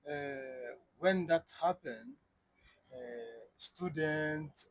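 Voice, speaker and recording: A man interpreting between guest and host, relaying slowly with halting pauses and fillers. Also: a little high, slight background noise, rough recording. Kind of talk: interpreting in conversation.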